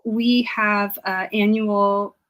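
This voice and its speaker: Female historian guest, average pitch, reflective and casual, earnest, halting as she finds her words. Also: level tone, background noise. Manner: hesitant, searching for words, earnest. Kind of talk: casual reflective interview talk.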